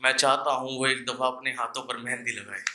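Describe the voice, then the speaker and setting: Bright, forceful young man reciting poetry. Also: mid-range voice, steady tone, brisk. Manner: declaims couplets, repeating lines for emphasis. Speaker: poet performing at an open mic. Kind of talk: live Hindi poetry recital with casual asides to the audience.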